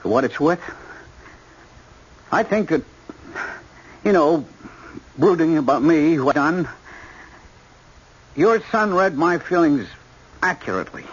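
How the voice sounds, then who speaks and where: An actor playing a frank, brooding young male killer in prison, halting as he searches for words, in a vintage radio drama. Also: fairly high pitch, lively intonation, husky. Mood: earnest, reflective. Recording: dull lo-fi old radio recording.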